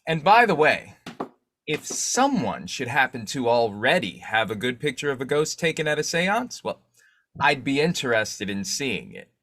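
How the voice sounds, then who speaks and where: A man playing an urbane newspaper publisher in an old-time radio drama, measured, polite and formal with a sly streak. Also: medium pitch, big pitch swings, fairly bright tone. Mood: businesslike, sly.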